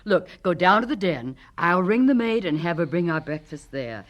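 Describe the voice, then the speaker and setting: A woman's voice: lowish voice, big pitch swings, moderate pace. An actress playing a troubled, weary, wealthy wife and mother in a 1970s radio drama, dramatic and emotional. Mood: weary, softening.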